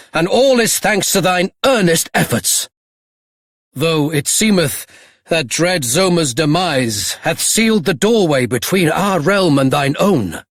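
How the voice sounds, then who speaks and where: A grand older monarch from a fantasy video game. He has a British accent and a somewhat high, husky voice. His delivery is courteous, formal and very measured. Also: no echo, studio quality, full character voice. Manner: formal, archaic, stately. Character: grand, courteous older king, stately and solemn. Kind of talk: video game character acting.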